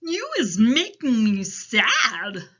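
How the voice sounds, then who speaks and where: A woman's voice: high-pitched, wildly swooping pitch, a little slow, pushed and bright, breathy edge, muffled recording, pitched above the performer's own voice, full character voice. A woman voicing a big friendly male giant from a children's storybook, tender-hearted and speaking in quirky broken grammar. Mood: sad, pitying.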